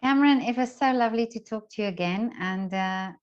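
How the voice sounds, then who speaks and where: A woman's voice: a little high, a little fast, clear, resonant tone. A middle-aged woman hosting a casual interview in a chatty, effusive manner, recorded with a dull, muffled sound. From lives in Vienna, Austria. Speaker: interviewer, series host.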